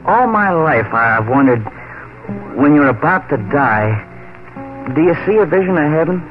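A man's voice: on the high side, sing-song, normal speed. Wistful young frontiersman, tinny old radio. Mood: uneasy, wistful. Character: young, wondering brother of a lawman. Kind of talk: 1940s radio western acting. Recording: tinny 1940s radio, faint music.

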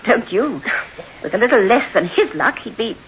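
A woman's voice: fairly high pitch, big pitch swings, moderate pace. A woman playing a composed, knowing lady tarot reader in an old radio drama. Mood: knowing, calm. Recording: muffled, noisy 1960s radio recording.

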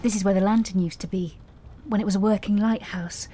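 An actress playing a nervous, apologetic lighthouse hostess who rambles in a flustered way in an audio drama. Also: female voice, mid-range voice, steady tone, fairly quick, mellow, a little hiss or hum, close to an everyday voice. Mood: proud, chatty.

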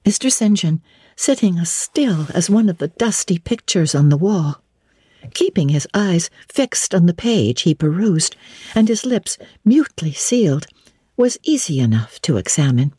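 Lady reading an old novel dramatically, deliberate phrasing, lowish mellow voice, dry close sound. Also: big pitch swings, slightly rough, dry recording. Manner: measured, deliberate, formal phrasing. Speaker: audiobook narrator. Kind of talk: dramatic literary reading, scripted narration.

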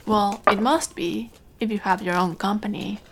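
A woman's voice: medium pitch, fairly even intonation, normal speed, gravelly, a little hiss or hum, close to an everyday voice. Actress as kindly, curious older mother. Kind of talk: audio drama dialogue.

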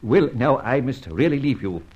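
An actor playing a debonair, mocking gentleman adventurer, suave and theatrically polite, in an old-time radio serial. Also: mid-range voice, lively intonation, normal speed, breathy edge. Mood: triumphant, taunting. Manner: suave, teasing, theatrically polite, hesitant drawl. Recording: muffled vintage radio serial recording.

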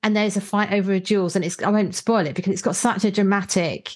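A woman's voice: mid-range voice, steady tone, fast talker, a little mellow. A woman hosting a podcast, talking casually and thoughtfully about stories in an articulate way. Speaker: podcast host. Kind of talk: casual literary discussion.

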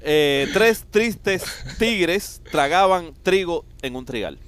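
Brief: male guest, high pitch, halting delivery. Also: animated, a bit bright, smooth. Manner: halting, stumbles over words. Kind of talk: casual chat.

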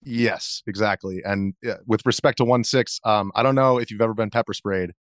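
A man's voice: fairly low pitch, steady tone, normal speed, no room sound, studio quality. A man with an American accent chatting casually as an interview guest. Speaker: interview guest, video journalist.

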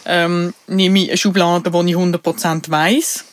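A woman's voice: on the low side, flat delivery, measured pace, no room sound. A woman from Switzerland with a Basel Swiss German accent, co-hosting a podcast in casual conversation, thoughtful and hesitant, laughing easily. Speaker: podcast co-host.

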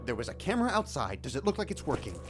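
A fretful, exasperated hacker. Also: male voice, wildly swooping pitch, racing, background noise. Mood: planning. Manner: fretful, exasperated, rising to squeaks. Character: nervous, fussy young man, a hacker. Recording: background music.

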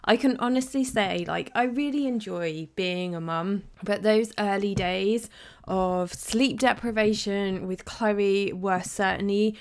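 A woman's voice: mid-range voice, measured pace. A woman in her thirties hosting a podcast, chatting casually and confidingly, sounding slightly bunged up from a cold. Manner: chatty, confiding, with many fillers. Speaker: podcast host.

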